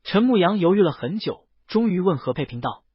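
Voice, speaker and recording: A man's voice: high-pitched, big pitch swings, brisk, husky, no echo, dull audio. A man reads a scripted story as an audiobook narrator, voicing the dialogue himself.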